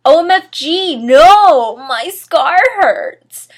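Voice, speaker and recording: Woman playing teenage boy wizard, high-pitched, loud, anguished, overwrought, melodramatic storybook delivery. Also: expressive, drawn-out words, heavily acted. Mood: screaming, pained. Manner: shouting, exclaiming, overwrought.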